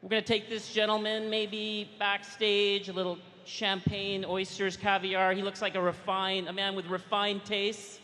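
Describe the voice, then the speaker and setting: A man roaming the audience as co-host, bantering loudly and joking with attendees. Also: high voice, completely flat delivery, a little slow, hardly any pauses. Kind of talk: roaming crowd interaction. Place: large hall among a crowd. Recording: hall reverb with crowd noise.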